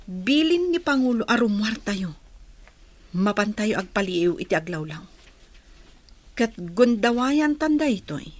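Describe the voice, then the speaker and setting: A watchful female rebel played by a woman giving orders in a radio-style drama. She is commanding, medium in pitch, with an Ilocano accent. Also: big pitch swings, a little slow, long pauses, no echo. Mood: urgent, cautious. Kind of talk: Ilocano audio drama acting.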